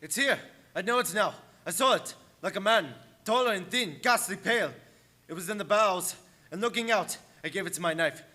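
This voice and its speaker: A man's voice: sits high, normal speed, bright, forceful tone. A man playing a haunted, agitated ship's mate driven mad by terror in a radio play. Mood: obsessed. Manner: intense, agitated. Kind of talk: dramatic radio play acting.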